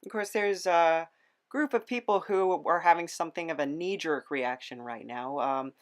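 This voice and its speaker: Female podcast host, slightly low pitch, musing and conversational, feeling out each question. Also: moderate pace. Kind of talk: conversational interview.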